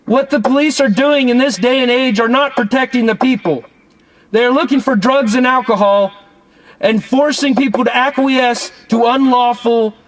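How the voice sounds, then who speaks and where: A man's voice: very high-pitched, rough recording. An American man making a declamatory, legalistic protest speech through a megaphone from a parked car. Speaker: activist vlogger. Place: parked car at night outside a city building.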